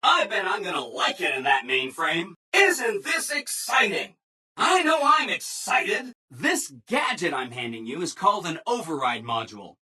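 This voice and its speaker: Game robot assistant: bright, very animated, ingratiating, relentlessly upbeat people-pleaser. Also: male voice, on the high side, moderate pace, hardly any pauses, slightly rough, exaggerated voice. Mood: chipper, helpful. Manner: chipper, ingratiating, emphatic, apologetic people-pleaser. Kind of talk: scripted video game dialogue.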